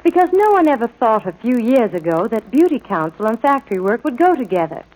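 An actress plays a brisk female factory beauty counselor in a 1940s radio ad. The delivery is scripted and practical, with lively pitch movement, on an old broadcast recording. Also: low-quality recording. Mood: brisk, confident.

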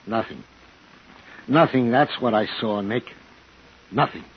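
Older male druggist, fearful, insistent, pleading; medium pitch, 1950s radio drama, muffled recording. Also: slow. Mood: fearful, stubborn. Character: older, fearful small-town drugstore owner. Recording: dull, slightly noisy 1950s radio recording.

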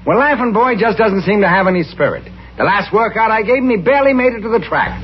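A skeptical horse trainer. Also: male voice, high voice, animated, fast, a little hiss or hum. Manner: matter-of-fact, doubtful. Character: practical middle-aged male horse trainer, skeptical. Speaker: actor in a radio comedy. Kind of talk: 1950s radio comedy acting. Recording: dull old radio broadcast.

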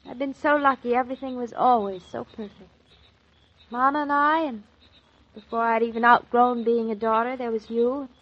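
An actress playing a bubbly, romantic young wife in a 1940s radio drama, eager and playful. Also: female voice, fairly high pitch, fairly even intonation, moderate pace, natural voice. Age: young adult. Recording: dull old radio recording.

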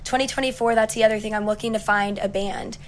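A young woman singer-songwriter with a raspy voice, chatting upbeat and easily as an interview guest. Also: mid-range voice, steady tone, fast talker. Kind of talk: casual interview chat.

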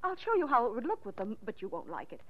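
An actress in a 1940s radio mystery playing an unhinged, boastful murderous wife, agitated and insistent. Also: female voice, on the high side, wildly swooping pitch, quick pace. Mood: obsessive, defensive. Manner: agitated, insistent, obsessively repeating herself. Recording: muffled vintage 1940s radio recording.

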